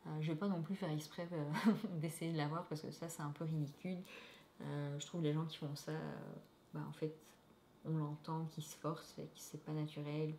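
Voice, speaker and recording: Low-voiced Belgian woman in an echoey room. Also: warm tone, a little hiss or hum. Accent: Belgian French, lightly tinged by Québec. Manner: chatty, many fillers and restarts. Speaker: vlogger answering viewer questions. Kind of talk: casual vlog talk.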